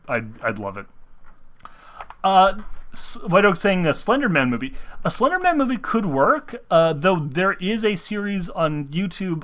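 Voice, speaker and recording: Bright-voiced rambling young man. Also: medium pitch. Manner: rambling, many restarts and fillers, upbeat. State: sick, talking through discomfort. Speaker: gaming video host. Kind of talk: rambling casual chat to viewers.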